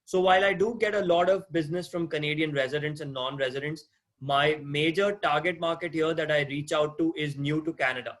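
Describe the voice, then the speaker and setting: A man's voice: fairly high pitch, fairly even intonation, a little fast, fairly bright tone. A man in his thirties with a non-native English accent, lecturing a class over a video call, talking conversationally, asking questions and restarting phrases. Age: 30s. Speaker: guest lecturer, mortgage agent.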